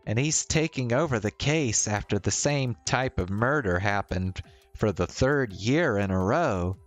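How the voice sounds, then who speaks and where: A man's voice: medium pitch, lightly acted. A man voicing a friendly, bookish young woman in a visual novel, reading her lines like an eager, teasing storyteller.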